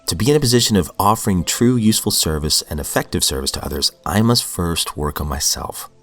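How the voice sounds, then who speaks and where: A man's voice: deep voice, animated, fairly quick, a bit bright. A man hosting a daily recovery reading podcast, reading passages aloud and then reflecting earnestly, sounding sniffly from a cold. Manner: earnest, reflective, with fillers and restarts.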